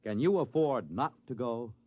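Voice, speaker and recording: Animated male 1950s radio announcer. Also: mid-range voice, normal speed, no echo. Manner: earnest, formal, emphatic. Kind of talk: 1950s radio announcing, scripted public service appeal. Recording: dull vintage 1950s radio recording.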